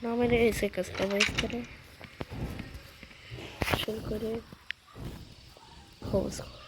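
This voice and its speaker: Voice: medium pitch, little pitch movement, takes it slowly. A young adult chatting casually in short, slangy bursts while playing a game, in a very noisy recording. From India. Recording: very noisy background.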